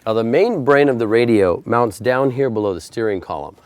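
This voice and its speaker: A man's voice: medium pitch, expressive, fairly quick, darkish tone, dry recording. A man presenting a how-to installation tutorial, explaining each step in a plain, methodical way. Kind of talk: step-by-step instructional narration.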